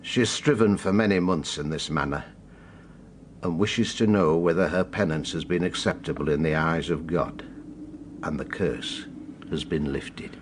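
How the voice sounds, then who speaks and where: An actor plays a compassionate older male confessor in a radio drama. His low voice is measured and solemn, with formal phrasing. Faint ambience sits behind him. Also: a little hiss or hum, lightly acted. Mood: solemn, sorrowful.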